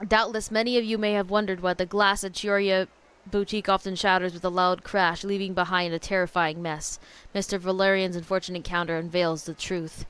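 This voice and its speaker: A woman's voice: lowish voice, flat delivery, fairly quick, few pauses, fairly bright tone, clear, resonant tone. A woman streamer reacting casually to a video game, informal and opinionated, reading text aloud with some stumbles.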